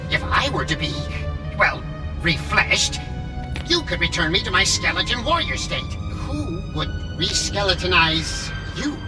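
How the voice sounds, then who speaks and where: A sly, scholarly skeleton villain from a cartoon. He talks slowly and condescendingly with a lively rise and fall, sounding a little distant under music. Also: sits high. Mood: sly, pointed. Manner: deliberate, condescending.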